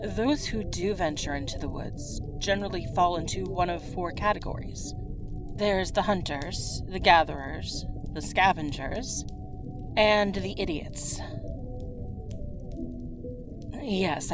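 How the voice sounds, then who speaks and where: A woman playing a caring, frank innkeeper in a fantasy roleplay audio drama, soothing and conversational. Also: medium pitch. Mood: frank, probing. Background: music behind the voice.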